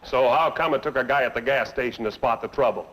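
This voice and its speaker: A man's voice: medium pitch, fast talker, fairly bright tone, close to an everyday voice, low-quality recording. An actor playing an angry male car owner in a vintage training film, blunt and sarcastic as he complains about bad service. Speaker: dissatisfied customer. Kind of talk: 1950s training film acting.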